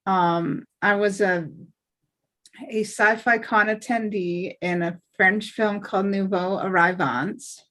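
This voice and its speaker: A woman with a mid-pitched voice talks casually in a group. She is chatty and rambles a little as she goes. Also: steady tone, takes it slowly, fairly bright tone. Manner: chatty, rambling, listing her credits. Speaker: actor, table read participant.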